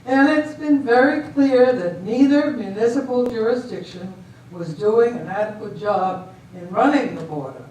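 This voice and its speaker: A woman in an amateur stage reading, playing a composed, decisive government official with a measured, formal delivery. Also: a little high, darkish tone, lightly acted. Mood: explanatory, assured. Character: composed, decisive female official judging a border dispute. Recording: echoey hall, distant mic, faint noise.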